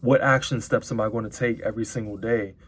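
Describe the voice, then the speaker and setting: A nineteen-year-old man giving a casual motivational talk to viewers, earnest and halting, often repeating words as he thinks aloud. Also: on the low side, steady tone. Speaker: vlogger. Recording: clearly noisy, constant ambient background noise.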